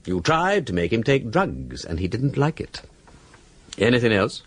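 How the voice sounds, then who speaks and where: A man playing a mysterious, teasing gentleman sleuth in a period radio drama, arch and sardonic, with an upper-class English accent and a husky, breathy voice. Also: lowish voice, huge pitch swings, unhurried, heavily acted. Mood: taunting.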